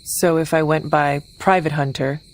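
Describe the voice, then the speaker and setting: A woman playing an earnest, formal, steady young warrior heroine in a video game cutscene. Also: sits low, moderate pace, close to an everyday voice. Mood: questioning.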